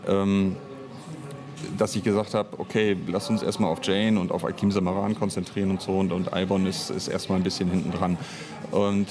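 A man giving unscripted interview answers in a rambling, halting way as a writer and director. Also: sits low. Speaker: interview guest, audio drama author and director.

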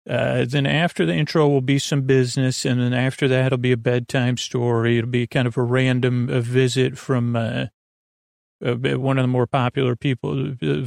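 A man hosting a sleep podcast in an informal, meandering, gently reassuring monologue, hesitating and trailing off mid-thought. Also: medium pitch, vocal fry, no echo, studio quality. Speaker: podcast host.

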